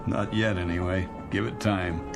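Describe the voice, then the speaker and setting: A man's voice: low-pitched, steady tone, slow pace, vocal fry, over music, full character voice. A man acting in a radio drama as a weary, bewildered businessman on a train, hesitant and stammering. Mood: weary small talk.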